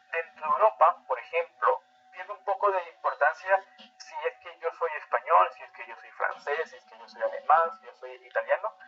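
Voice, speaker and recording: A man's voice: on the high side, dull audio. A man lecturing informally as an instructor, hesitant and often restating his phrases. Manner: hesitant, repetitive delivery, often restating phrases and checking understanding. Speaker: instructor of a political training class. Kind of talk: informal lecture explaining political integration.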